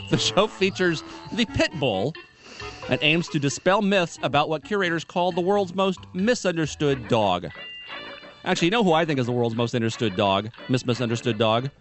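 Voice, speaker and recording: A man co-hosting a radio show, reading odd news stories and adding dry, deadpan asides. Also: a little high, normal speed, soft background music. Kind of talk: reading news items with banter.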